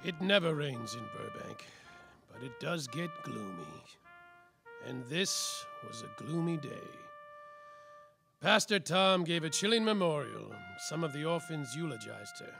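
An actor playing a world-weary, tipsy private detective in a slapstick noir radio play, with melodramatic hard-boiled narration. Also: male voice, on the high side, lively intonation, unhurried, creaky, over music. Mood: gloomy, wry.

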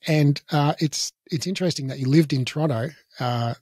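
Man, 40s, podcast host, studio mic, husky, dry close sound. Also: fairly high pitch, moderate pace, no room sound. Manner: relaxed, laughs easily, hesitant fillers. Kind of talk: casual podcast interview. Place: home office with bookshelves. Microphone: large studio mic on boom arm.

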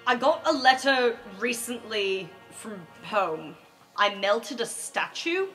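A woman's voice: a little high, animated, slow, a bit bright, rough recording. A woman playing a tabletop game, improvising her character's actions in a casual style. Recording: music bed under the voice. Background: background music.

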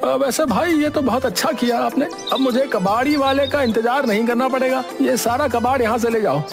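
A man's voice: very high voice, brisk, vocal fry. A man voicing a smug, haggling villager in a cartoon story. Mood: casual. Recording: music behind the voice.

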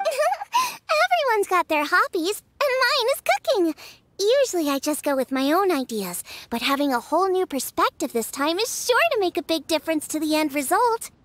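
A woman's voice: extremely high pitch, very animated, moderate pace, a bit bright, no room sound, heavily acted. A woman voicing a cheerful, earnest teenage girl chef in a game, with an eager, spirited manner.

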